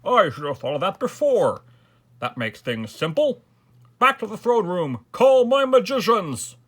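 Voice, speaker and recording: A man voicing a blustering, impatient storybook king who commands and exclaims loudly. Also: fairly high pitch, very animated, a little slow, voice pushed up, exaggerated voice. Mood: commanding.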